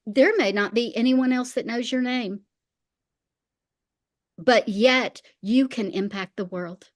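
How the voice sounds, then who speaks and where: High-voiced woman, emphatic faith teacher. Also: slow. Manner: emphatic, repeats phrases for weight. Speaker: interview guest, faith teacher.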